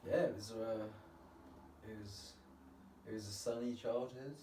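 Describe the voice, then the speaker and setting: A man's voice: lowish voice, fairly even intonation, measured pace, warm tone, distant mic, a little hiss or hum. A man who grew up in Spain, talking in easygoing, thoughtful casual chat and laughing often. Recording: roomy, held away from the mic.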